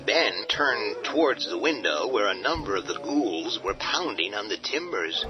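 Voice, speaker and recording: A man with a very deep voice narrates a horror tale in a steady, vivid way. Clear music plays under his narration. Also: unhurried, highs cut off. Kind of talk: dramatic storybook narration.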